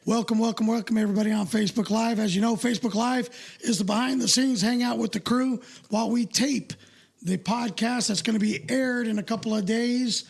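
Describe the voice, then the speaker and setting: A man co-hosting a comedy podcast with a hoarse, raspy voice, chatting loosely and crudely. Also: very high voice, steady tone, fairly quick. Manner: loose, crude, joking banter. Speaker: podcast co-host. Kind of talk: casual comedy podcast chat.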